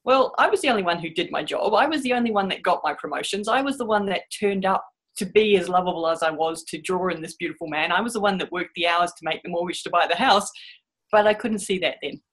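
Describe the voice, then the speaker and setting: A woman's voice: on the low side, fast, fairly bright tone. Reflective middle-aged New woman. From New Zealand and Australia. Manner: thoughtful, candid, wry. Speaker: interview guest. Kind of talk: reflective storytelling.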